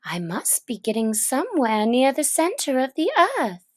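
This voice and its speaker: A woman's voice: high voice, sing-song, normal speed. A woman voicing a curious, chatty young girl who muses to herself as she wonders about things, in a storybook reading. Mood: musing. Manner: thoughtful, wondering musing to herself. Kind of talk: storybook character voice.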